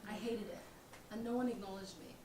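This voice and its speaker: A woman's voice: mid-range voice, steady tone, brisk, warm tone, natural voice. A young woman playing a defensive, self-doubting roommate in a comedic stage play. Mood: frustrated, dejected. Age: young adult. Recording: echoey room, distant mic, clear background noise.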